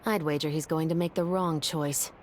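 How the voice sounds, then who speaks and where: A woman's voice: steady tone, moderate pace, darkish tone, a little hiss or hum, lightly acted. A woman playing a terse, cryptic woman captain with an eye patch, in a video game. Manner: terse and low. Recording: game music behind.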